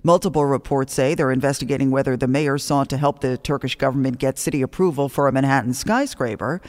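A man's voice: medium pitch, fast talker. A man with an American accent reading scripted local news as a radio anchor.

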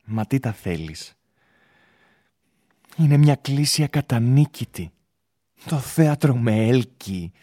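A man's voice: medium pitch, lively intonation, pauses often, mellow, no room sound, clean studio sound, natural voice. A man playing an ardent, ambitious young stage actor in love, in a theatrical, impassioned radio drama style. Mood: yearning, impassioned.

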